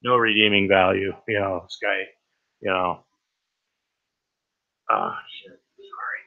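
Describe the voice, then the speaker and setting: A man hosting a livestream with a raspy voice and a cold, rambling and swearing through casual talk about the news. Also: sits low, steady tone, unhurried, phone-quality sound. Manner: profane and rambling, lots of "right?". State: has a cold. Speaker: livestream host.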